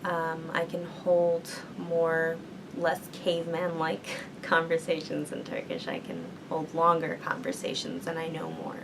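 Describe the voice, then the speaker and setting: Young woman student, low voice, humming background. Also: a little slow, background noise. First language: English. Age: young adult. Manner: thoughtful, many ums and likes. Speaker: university student. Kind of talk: interview answers.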